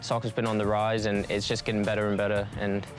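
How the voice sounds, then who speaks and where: A young man, a college soccer player, giving relaxed, rambling interview answers. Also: on the low side, fast. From New Zealand. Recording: music bed under interview.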